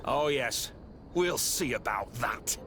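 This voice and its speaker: A man's voice: mid-range voice, expressive, slow. A man acting as a menacing, dismissive guard lieutenant in a criminal gang for a video game. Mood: evasive. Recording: noticeable background noise.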